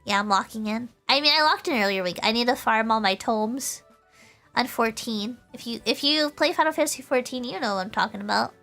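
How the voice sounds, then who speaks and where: High, bright-voiced bubbly woman streamer. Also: a little fast, gravelly, faint music behind. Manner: bubbly, giggly, repeats phrases. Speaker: VTuber livestreamer. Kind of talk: casual chatty livestream, talking to chat.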